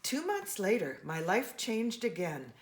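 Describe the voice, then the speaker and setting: A woman reading a children's storybook aloud in an expressive, animated way, speaking to young listeners. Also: mid-range voice, a little slow, a little hiss or hum. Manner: expressive, with gasps and brief asides to listeners.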